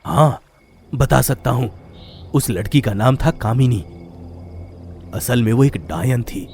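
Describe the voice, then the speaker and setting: A man's voice: medium pitch, expressive, slow pace, darkish tone, a little hiss or hum. A man voicing a stern tantric holy man who gives ominous, foreboding warnings in a horror story. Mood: grave.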